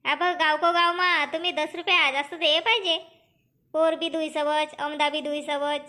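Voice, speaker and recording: A woman acts out a cartoon village farm woman with an extremely high, piercingly bright voice. She is talkative and plaintive, haggling in a rural Powari accent. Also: level tone, moderate pace. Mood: insistent. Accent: Powari, eastern Maharashtra and Balaghat region. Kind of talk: cartoon comedy dialogue.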